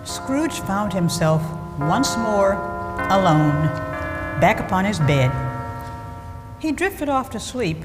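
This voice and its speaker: An actress narrating a Christmas tale in a 1940s-style radio play, with expressive, scripted storytelling. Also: female voice, medium pitch, slow pace, long pauses. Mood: measured, atmospheric. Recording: reverberant hall, loud music underneath.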